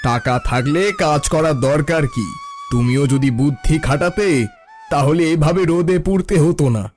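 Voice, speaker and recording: A man plays a smug, idle, taunting man of means in a cartoon folk tale. He drawls slowly and condescendingly in a fairly high male voice. Music plays behind him. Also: darkish tone, close to an everyday voice. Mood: smug, boastful.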